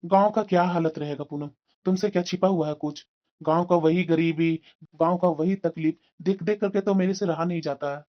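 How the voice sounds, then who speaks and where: Muffled lo-fi audio, high-pitched man acting as cartoon husband, evasive, irritable, doting uncle. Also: steady tone, fairly quick, close to an everyday voice. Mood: weary. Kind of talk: Hindi moral-story cartoon dialogue.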